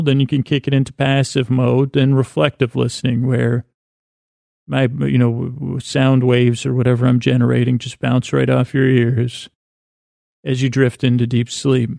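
A man with a creaky, gravelly voice hosts a bedtime podcast, rambling haltingly and interrupting himself as he digresses. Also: mid-range voice, a little mellow, no room sound, studio quality. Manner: halting, digressive, with repeated stumbles and self-interruptions. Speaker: podcast host.

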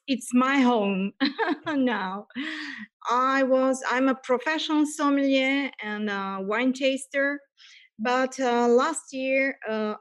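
Woman, from Serbia, English, slow, hesitant, many fillers, slightly high voice. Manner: hesitant, many fillers, non-native phrasing. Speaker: guest, preserves producer. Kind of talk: interview answers.

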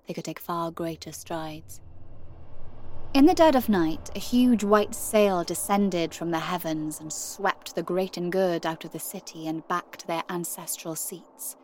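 A woman playing a wry, wistful giant from the country in a first-person audio drama monologue, telling her story expressively with hushed, confiding asides. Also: on the low side, natural voice. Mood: subdued, wistful, then wondering.

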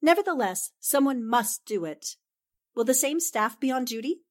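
Actress as a high-voiced, plucky radio-drama newswoman. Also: expressive, moderate pace. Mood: composed. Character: composed, brave newspaperwoman in a radio thriller. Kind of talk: 1940s radio drama acting.

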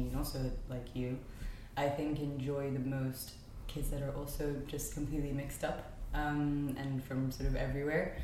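Low-voiced young woman in an echoey room. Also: dark tone. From Spain. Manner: chatty, frequent ums, laughs often. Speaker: podcast guest. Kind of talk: casual conversational chat. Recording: very echoey room with faint noise.

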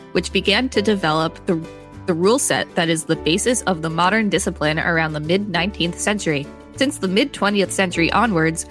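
Low-pitched woman hosting, music behind. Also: fairly bright tone. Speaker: podcast co-host. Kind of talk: reading an article aloud with casual commentary.

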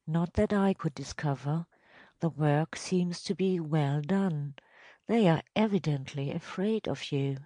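Woman playing a slow, cautious male village elder. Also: low voice, warm tone, no room sound. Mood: deferential.

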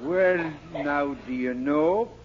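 An actor playing a genial but gullible Irish policeman with an Irish accent and a gravelly voice, in a 1940s radio comedy. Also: fairly high pitch, slow, heavy background noise. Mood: amiable, chatty. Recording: muffled 1940s radio recording.

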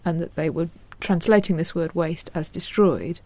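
A woman historian explaining her subject as an interview guest in a measured, scholarly manner. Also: lowish voice, steady tone, dark tone, dry recording.

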